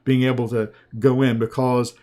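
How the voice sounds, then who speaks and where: A man with a Southern US accent, speaking as a sales coach in a relaxed, folksy webinar pitch. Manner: slow, folksy, conversational with restarts.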